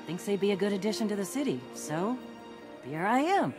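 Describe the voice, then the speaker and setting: A woman's voice: medium pitch, big pitch swings, brisk, over music, natural voice. An actress playing a spirited, irreverent woman mechanic in a video game, with casual, teasing, folksy talk. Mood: wry, warm. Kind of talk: video game character dialogue.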